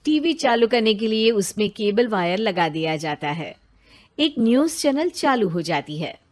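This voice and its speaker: A woman's voice: mid-range voice, big pitch swings, a little slow, darkish tone. A woman narrating a scripted children's story.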